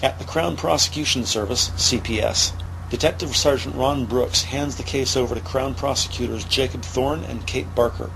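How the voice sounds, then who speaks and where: A man's voice: medium pitch, moderate pace, vocal fry, few pauses, fairly bright tone, a little hiss or hum, rough recording. A man reading scripted narration for a police audio drama.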